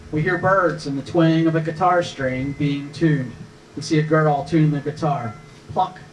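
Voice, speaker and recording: A man reading screenplay stage directions as scripted narration at a live table read, picked up by a distant microphone. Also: a little high.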